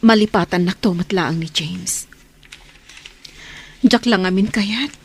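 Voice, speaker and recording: An actress with a Filipino Ilocano accent playing a gentle, self-sacrificing woman in love in an audio drama. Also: fairly low pitch, slow pace, creaky, slightly rough, exaggerated voice. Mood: pained, resigned.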